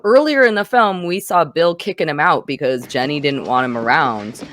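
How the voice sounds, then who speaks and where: A woman's voice: sits low, normal speed, fairly bright tone, a little hiss or hum. A woman hosting a film review podcast, explaining things in a casual, conversational way.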